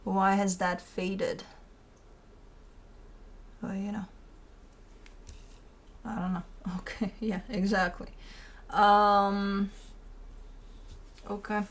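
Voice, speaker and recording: A woman with a mid-pitched voice reads tarot cards as host. She talks conversationally and loosely, leaning on key words. She often repeats short phrases and poses rhetorical questions. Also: little pitch movement, drawn-out words, long pauses. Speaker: tarot reader hosting her own show. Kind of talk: informal, rambling tarot card reading.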